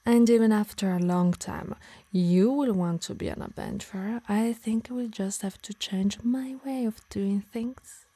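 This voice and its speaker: A woman plays a yandere harpy, doting on her beloved while quietly controlling her. The voice is breathy and mellow with a creaky edge, often dropping into whispers. It is intimate ASMR roleplay, teasing and menacing by turns. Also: medium pitch, fairly even intonation, a little slow, dry recording. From Italy. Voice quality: breathy, soft. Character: possessive yandere female harpy, doting yet menacing lover. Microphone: close, dry microphone.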